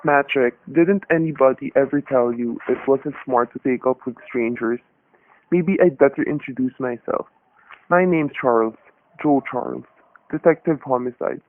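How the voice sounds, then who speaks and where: A tinny old radio recording carries a man acting a shrewd off-duty detective. He is steady and wry, with a folksy hard-boiled delivery suited to a noir crime play. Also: mid-range voice, moderate pace, close to an everyday voice. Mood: calm triumph. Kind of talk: vintage radio drama acting and noir narration.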